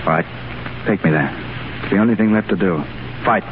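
An actor playing an idealistic, stubborn and wry English diplomat in a 1930s radio drama. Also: male voice, low voice, fairly quick, natural voice. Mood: brisk, resolute. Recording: noisy, narrow-band 1930s radio broadcast.

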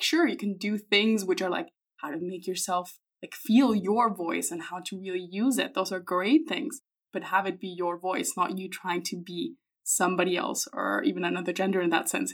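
A woman hosting a podcast, talking emphatically and passionately in a casual interview. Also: medium pitch. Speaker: podcast host.

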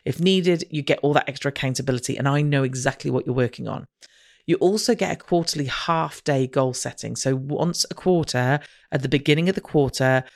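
A woman hosting a podcast, upbeat and chatty, with a slightly croaky voice, recorded remotely online. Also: very deep voice. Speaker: podcast host. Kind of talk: casual podcast monologue and interview.

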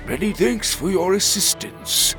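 A man voicing a grumpy, proud old blind lizard creature who snarls and huffs, higher than his own voice, in a storybook reading. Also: a little slow, over music. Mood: indignant.

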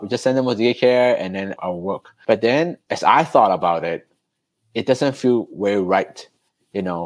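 A man's voice: lowish voice, no room sound. A man, chatting loosely as a podcast guest. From Hong Kong, raised in Canada. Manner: relaxed, loose, with fillers and restarts. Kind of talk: conversational storytelling.

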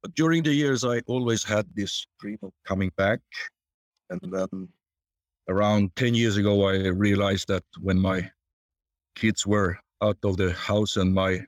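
An older man, answering interview questions in a reflective, halting, conversational way. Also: on the low side, no echo. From born in Tanzania, grew up there and in Sweden. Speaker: podcast guest.

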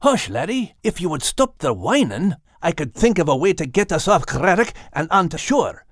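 Brisk, bossy Scottish dog for kids' audio adventure; highish, very animated delivery. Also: male voice, dry recording, studio quality. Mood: urgent, hushed. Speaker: podcast co-host in character. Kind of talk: animated character acting for children's audio story.

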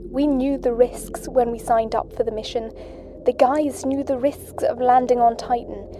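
A woman's voice: a little high, steady tone, noisy background, natural voice. Solemn, level-toned actress as woman astronaut survivor. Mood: solemn, awed. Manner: measured, solemn, ominous. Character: grave, shaken woman astronaut doctor, sole survivor of expedition. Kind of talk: audio drama monologue, recorded message home. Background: music.

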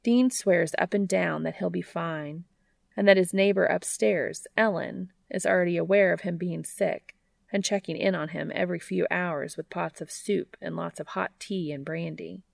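A woman narrates fiction in a low register with very heavy vocal fry. The recording is dry and close, with hardly any room around the voice. She reads as an audiobook narrator working from a script. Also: steady tone, moderate pace, no room sound.

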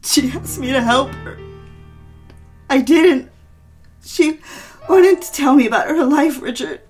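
High female voice, actress playing hysterical young wife, anxious pleading, audio drama, music underneath. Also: slow, a little mellow. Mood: dazed, remorseful.

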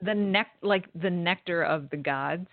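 A woman's voice: on the low side, a little slow, dry recording. A woman co-hosting a podcast in casual chat, teasing her partner with incredulous questions. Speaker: podcast co-host. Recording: tinny phone-like line.

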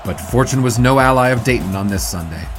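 An actor playing an earnest 1920s newspaper sportswriter in an audio drama, speaking in a measured, literate way. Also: male voice, medium pitch, expressive, music in the background, close to an everyday voice. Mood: measured, narrating. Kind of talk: audio drama dialogue and scripted narration.

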